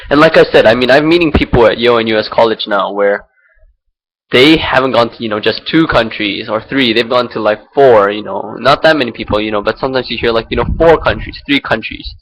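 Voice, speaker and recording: A young man from Singapore thinks out loud into his laptop's built-in mic. He talks without a script, in a rambling, hesitant way, circling back with fillers and restarts. His voice sits at an ordinary middle pitch for a man. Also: brisk, poor audio. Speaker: vlogger. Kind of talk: unscripted personal reflection.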